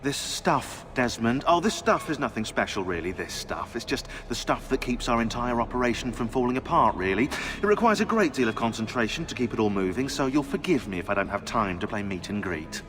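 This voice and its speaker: An actor playing a sarcastic, condescending archivist in a game cutscene. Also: male voice, medium pitch, expressive, a little fast, in a large hall, over music, lightly acted. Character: sarcastic snobbish man, dry and condescending. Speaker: archivist and tactical support.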